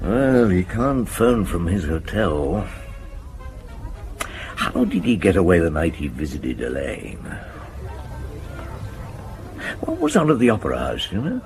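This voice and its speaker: Low voiced middle-aged detective in a radio play, musing and polite, lively delivery, music behind. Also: male voice, full character voice, poor audio. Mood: courteous, probing. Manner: measured, musing, deliberate. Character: middle-aged French police inspector, thoughtful, courteous, dogged. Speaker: actor playing a police inspector. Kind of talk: radio drama acting.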